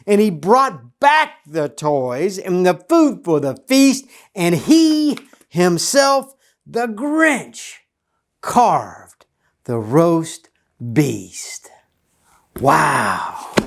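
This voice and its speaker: A man reads a storybook to children in a theatrical, emphatic way. His pitch swings widely and his pacing is slow and deliberate. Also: sing-song. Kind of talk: animated children's storybook reading.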